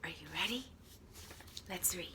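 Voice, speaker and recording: A woman reading a children's story aloud in an expressive teacher's style, addressing young listeners. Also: on the low side, unhurried, background noise.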